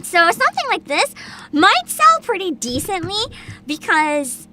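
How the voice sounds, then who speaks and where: A woman chatting casually as a livestream host, excitable and giggly, with dramatic emphasis. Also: extremely high pitch, measured pace.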